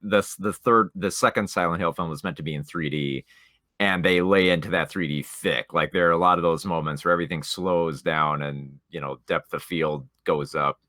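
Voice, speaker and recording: A man with a low, bright voice talks through films as a podcast co-host. He is opinionated and likes to explain his point, and the recording is close and dry. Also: fairly even intonation, moderate pace, no echo. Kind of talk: casual film discussion.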